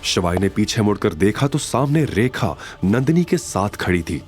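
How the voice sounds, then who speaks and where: Lively male story narrator over music. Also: lowish voice, normal speed. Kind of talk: dramatic scripted narration.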